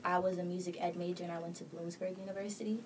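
A young woman from Kenya, a singer-songwriter, telling her story as a podcast guest in relaxed, unhurried chat. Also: fairly low pitch. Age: 20s. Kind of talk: casual interview chat. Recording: distant mic with clear background noise.

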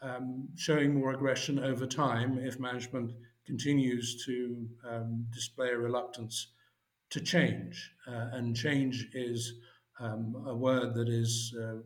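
Man explaining in an echoey room. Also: mid-range voice. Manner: measured, explanatory, frequent uhs. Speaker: guest, fund adviser chairman. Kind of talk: interview answers. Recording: roomy echo.

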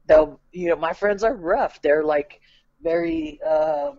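A man's voice: high voice, very animated, slow, gravelly, darkish tone, muffled recording. A man co-hosting a podcast with a stuffy nose from a head cold, talking bluntly and profanely with lots of filler words. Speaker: podcast co-host. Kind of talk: casual podcast chat.

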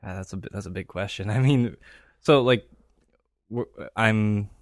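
A man's voice: on the low side, measured pace, dry recording, clean studio sound. A man, telling stories casually as a podcast guest. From Canada and Greece. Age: 30s. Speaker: podcast guest, real estate investor. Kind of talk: casual conversational storytelling.

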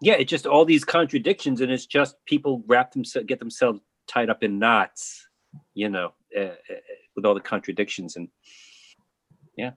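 Husky man chatting casually. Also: medium pitch, moderate pace, fairly bright tone, dry recording. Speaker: play reader. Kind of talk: casual discussion.

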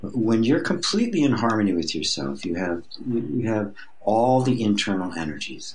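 A man's voice: low-pitched, rough recording. A mature man speaking as an interview guest, explaining his personal-growth teaching in a reflective, conversational way with frequent restarts.

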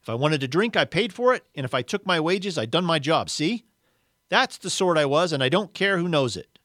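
A man voicing an indignant working-class ghost from a story, blustering and argumentative in rough colloquial grammar. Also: a little high, animated, a little fast, fairly bright tone, no echo, clean studio sound, pitched above the performer's own voice. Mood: indignant, defensive. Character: indignant, aggrieved working-class male ghost demanding his rights.

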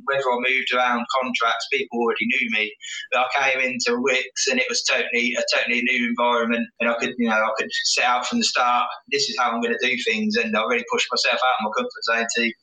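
A man speaks in a very bright, sharp voice, self-deprecating and halting, restarting his sentences often. The recording sits in an echoey room with the mic set some way off. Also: mid-range voice, little pitch movement, far from the mic. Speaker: guest, finance professional. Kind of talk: candid podcast conversation.

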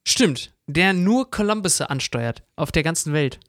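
A man's voice: fairly high pitch, expressive, measured pace, fairly bright tone, no echo, clean studio sound. A man co-hosting a podcast in casual chat. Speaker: podcast co-host.

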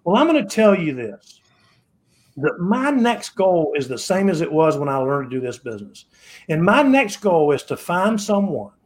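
A man in his sixties speaks as a podcast guest in a fairly high voice. He sounds preachy and repeats himself, often restarting his phrases as he hammers his point home. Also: darkish tone. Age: 60s. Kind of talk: motivational interview talk.

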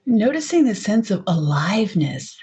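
A woman leading a guided meditation in a soft, unhurried, gently inviting way, on a dull lo-fi recording. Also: mid-range voice, very animated, husky.

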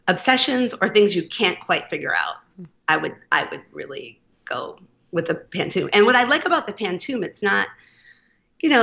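A woman's voice: medium pitch, creaky, bright, forceful tone, dull audio. A woman poet reading her work aloud, then chatting casually about writing with frequent fillers and laughs. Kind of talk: reading a poem, then casual interview talk.

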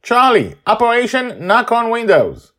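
A man voicing an excited, bossy ten-year-old girl in a story, yelling in short, insistent bursts. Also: very high-pitched, unhurried, voice pushed up, exaggerated voice.

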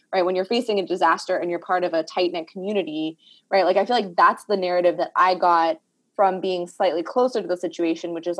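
Woman host, reflective conversational tone, slightly low pitch. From Puerto Rico. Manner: reflective, heavy use of "like" fillers. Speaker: podcast host. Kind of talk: casual conversational discussion.

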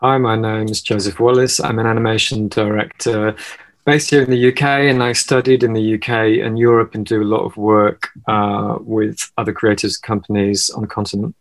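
A man's voice: fairly low pitch, little pitch movement, normal speed. A man who works as an animation director, introducing himself plainly on a panel.

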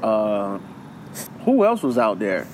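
A man's voice: on the low side, wildly swooping pitch, slow, slight background noise, rough recording. A man co-hosting a podcast, chatting casually with loose slang and lots of repeating himself. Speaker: podcast co-host. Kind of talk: casual chat.

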